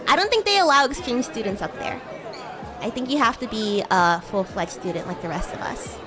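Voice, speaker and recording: A woman voicing a bratty, playful young demon schoolgirl in chatty livestream roleplay, with a teasing, giggly, halting manner. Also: on the high side, animated, pitched below the performer's own voice, lightly acted. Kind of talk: improvised in-character livestream roleplay chat. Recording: music and crowd murmur behind. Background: music and crowd ambience.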